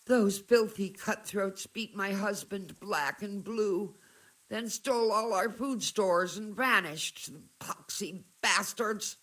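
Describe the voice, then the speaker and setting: A woman's voice: mid-range voice, slow pace, fairly bright tone. An earthy medieval peasant wife from a video game. She speaks emphatically, with bitterness and gratitude close together, as someone worn by hardship. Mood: bitter, distressed.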